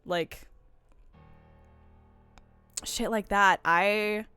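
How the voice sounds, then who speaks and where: A woman's voice: mid-range voice, fairly even intonation, drawn-out words, pushed and bright, smooth, no room sound, faint music behind. A woman talking casually about video games as a stream host, digressive and often interrupting herself. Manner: rambling, digressive, full of self-interruptions. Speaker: host of a game discussion stream.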